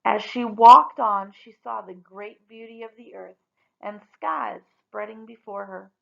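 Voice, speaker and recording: A woman reading a story aloud expressively, with deliberate, dramatic emphasis on key words. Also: mid-range voice, creaky, dull audio.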